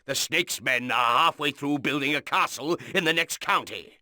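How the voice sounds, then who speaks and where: An actor playing an earnest noble commander giving a scripted mission briefing in a video game, stately and urgent. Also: male voice, fairly high pitch, normal speed, pushed and bright, soft background music. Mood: grave, rousing. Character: earnest noble male commander briefing his young lord.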